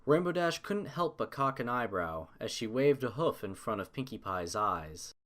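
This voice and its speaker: A man's voice: medium pitch, very animated, normal speed, a bit bright. Male narrator reading a story.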